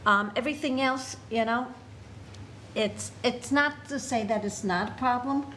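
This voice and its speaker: An older woman with a New York accent speaking at a city committee meeting, halting, with many fillers and restarts. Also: fairly high pitch, pushed and bright, slight background noise. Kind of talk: public meeting discussion.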